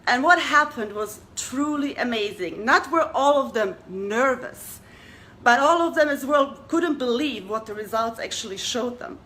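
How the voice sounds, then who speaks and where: Bright, high-voiced woman hosting a talk. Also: animated, a little slow. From Germany. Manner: earnest, rhetorical questions to listeners. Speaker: talk show host. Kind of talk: addressing an audience.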